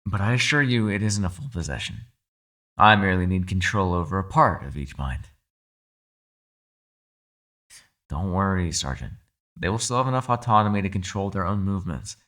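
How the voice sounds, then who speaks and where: A man playing a captive mind-controlling being in an ASMR roleplay, calm, shrewd and persuasive, speaking in a measured, formal, quietly condescending way. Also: deep voice. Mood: calm bargaining. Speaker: voice actor. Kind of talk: ASMR roleplay, dramatic monologue.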